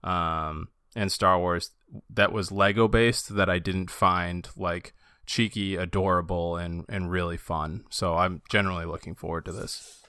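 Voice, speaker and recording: A man's voice: low-pitched, steady tone, a little slow, pushed and bright, smooth, no echo, studio quality. A man co-hosting a podcast in a casual, conversational style about fan topics. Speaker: podcast co-host.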